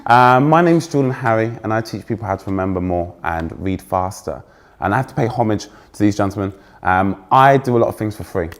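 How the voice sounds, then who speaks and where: Young English male keynote speaker, fairly posh accent, loud, energetic, teases the crowd. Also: fairly low pitch, brisk. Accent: trained-out Essex, now fairly posh English. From England. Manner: energetic, joking with the audience, self-deprecating. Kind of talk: conference keynote talk. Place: stage before a live audience.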